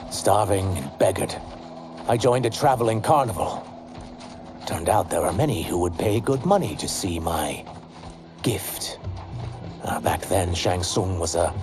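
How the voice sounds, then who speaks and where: An actor playing a remorseful reptilian shapeshifter and outcast who speaks in an earnest, subdued way. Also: male voice, low-pitched, lively intonation, unhurried, long pauses, music in the background.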